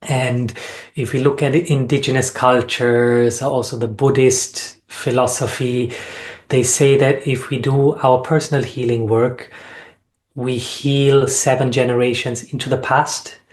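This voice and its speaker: A man from Austria speaking as an interview guest, reflecting on personal experience in an earnest, measured way with deliberate phrasing. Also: mid-range voice, level tone, a little mellow. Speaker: sound healing facilitator and interview guest.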